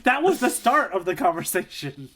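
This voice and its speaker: A man co-hosting a podcast in casual, comedic chat, telling things in an animated, jokey, rambling way. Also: sits high, normal speed, slight background noise. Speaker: podcast co-host.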